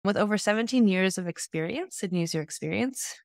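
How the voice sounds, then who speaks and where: A woman's voice: mid-range voice, animated, brisk, vocal fry, no room sound, clean studio sound. A woman in her thirties hosting an online event, giving a semi-scripted introduction. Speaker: host introducing a speaker. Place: indoors, video call.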